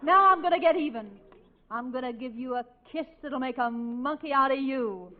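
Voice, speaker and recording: Vengeful, lovesick dame played by a woman, high voice, hammy 1940s radio melodrama, dull noisy recording. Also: normal speed, close to an everyday voice. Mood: vengeful, dramatic. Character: vengeful, lovesick woman ex-convict in detective spoof. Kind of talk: 1940s radio comedy acting.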